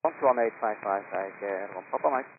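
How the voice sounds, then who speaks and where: A male pilot reads back clearances through a phone-like radio link with clear static. He is medium in pitch and talks in procedural radio phrases. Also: fast. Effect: radio transmission. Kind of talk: radio readbacks to air traffic control.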